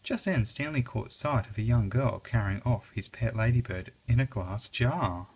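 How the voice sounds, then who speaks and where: A reader narrating a children's story aloud in an expressive, dramatic way. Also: medium pitch, normal speed, breathy edge.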